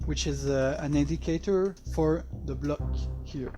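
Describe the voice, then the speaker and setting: Male game developer, native French speaker with French accent; unhurried, halting delivery of casual playthrough commentary, music clearly behind. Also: fairly high pitch, warm tone. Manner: halting, hesitant non-native English.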